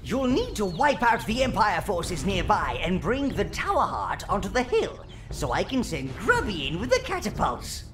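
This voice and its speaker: A man's voice: sits high, huge pitch swings, normal speed, hardly any pauses. A man voicing an old minion advisor in a video game, servile, theatrical and relishing destruction. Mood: instructing, sly. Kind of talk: video game character acting. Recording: loud music behind the voice.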